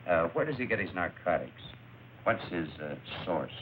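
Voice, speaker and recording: A man's voice: low-pitched, big pitch swings, moderate pace. An actor playing a curt, world-weary narcotics investigator in a film noir crime drama, clipped and commanding, hesitating over his questions. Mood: cool, probing. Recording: muffled narrow-band old recording, faint noise.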